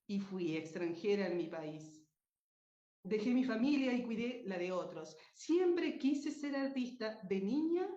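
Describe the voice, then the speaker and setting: A woman's voice: mid-range voice, dark tone. Socorro, troubled old dreamer, acted by a woman, echoey. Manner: slow, dramatic, wistful. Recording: echoey room, dull muffled sound.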